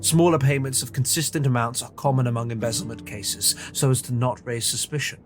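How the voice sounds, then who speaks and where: An actor playing an observant, wry Victorian detective in an audio drama, with a dry, precise, theatrical delivery. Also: male voice, medium pitch, steady tone, a little fast, over music, natural voice. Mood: analytical, serious.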